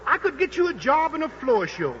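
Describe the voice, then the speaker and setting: High, lively, pushy boxing manager. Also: male voice, fairly quick, exaggerated voice. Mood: pushy, persuasive. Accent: American. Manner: slangy, coaxing, insistent. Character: fast-talking, pushy, wheedling boxing manager. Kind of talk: 1940s radio acting. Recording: muffled, noisy 1940s radio broadcast.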